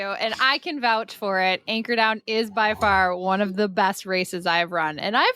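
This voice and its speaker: Bright-voiced enthusiastic woman co-hosting. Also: a little high, dry recording. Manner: enthusiastic, complimentary. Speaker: podcast co-host. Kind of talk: casual chat.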